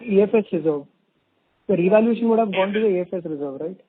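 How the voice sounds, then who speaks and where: A man's voice: high-pitched, quick pace, husky. A man with an Indian accent calling in as an analyst, politely asking questions over the phone. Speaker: analyst caller. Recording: narrow tinny phone line.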